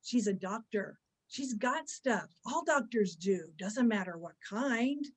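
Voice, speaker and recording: A woman acting an edgy, wheedling gangster's girlfriend with a husky voice in a reader's theater play. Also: a little high, lively intonation, slow pace. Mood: impatient, wheedling.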